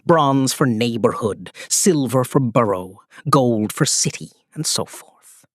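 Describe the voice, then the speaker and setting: Slow, husky, slurring male mentor acted out. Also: mid-range voice, expressive, no echo, clean studio sound. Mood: tipsy explaining. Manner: slow, patient explaining. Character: slurring, drunk, patient male tutorial guide and mentor. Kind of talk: animated story dialogue.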